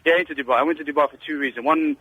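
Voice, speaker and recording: A man on a call-in line talks quickly, stumbling through restarts and fillers as he tells his story. His voice is bright and a little husky. The sound is thin and phone-like. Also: a little high, fairly even intonation. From Britain. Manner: rambling, hesitant, many restarts and fillers. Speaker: caller.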